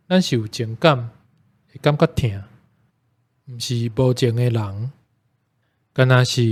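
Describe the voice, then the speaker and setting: A man with a Taiwanese accent reading a scripted reflection as a book club member. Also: medium pitch. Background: soft plucked guitar music.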